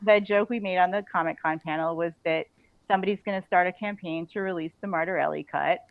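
A woman's voice: lowish voice, steady tone, fast, gravelly, dry recording. Female author interviewee, casual and effusive, conversational delivery, dry close sound with limited bandwidth. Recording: band-limited, slightly dull audio.

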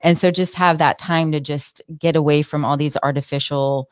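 Very low-pitched female wellness expert. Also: fairly even intonation, moderate pace, clean tone, dry recording, studio quality. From born in the United States, partly raised in Israel. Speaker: health and wellness expert guest. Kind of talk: casual interview chat.

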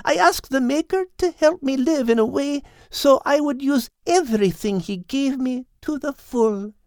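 A small-sounding, high-voiced animal friend from an audiobook. He speaks earnestly and tenderly, with measured solemnity, in a dry, close sound. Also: no room sound. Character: gentle male animal friend solemnly relaying dying words. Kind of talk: audiobook character voice.

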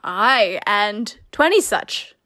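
A woman's voice: medium pitch, sing-song, extremely slow, pushed and bright, exaggerated voice. A woman playing a witty, commanding young heroine in a Shakespeare radio comedy, teasing and mock-stern. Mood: playful, teasing. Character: witty, commanding young woman, playfully testing her suitor.

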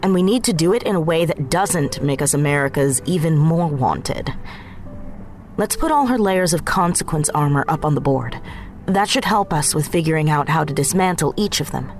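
A woman's voice: sits low, expressive, moderate pace, faint music behind. A woman playing a determined, matter-of-fact strategist on the run in an audio drama.

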